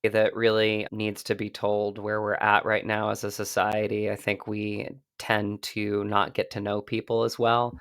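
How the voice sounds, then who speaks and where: An American man speaks earnestly as an interview guest. His voice is low with a lot of creak, and the sound is dry and close. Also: monotone, measured pace, few pauses, fairly bright tone, no echo. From United States. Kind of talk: reflective interview talk.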